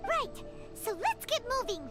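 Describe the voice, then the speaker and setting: A tiny, eager game fairy with an extremely high, piping voice that swoops around. Each phrase is exclaimed at an unhurried pace over loud music. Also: female voice, sing-song, heavily acted. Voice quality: squeaky, piping. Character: small excitable childlike fairy companion girl. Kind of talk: video game voice acting.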